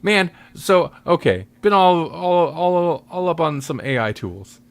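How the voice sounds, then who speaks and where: A man's voice: fairly high pitch, lively intonation, unhurried. A man hosting a podcast in casual chat, rambling loosely as he searches for his words. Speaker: podcast host.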